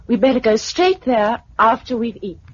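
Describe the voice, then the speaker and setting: Anxious female survivor, compassionate, highish expressive voice, vintage radio drama, muffled sound. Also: normal speed. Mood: watchful. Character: Gisela, concerned, compassionate young female survivor. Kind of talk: 1950s radio drama acting.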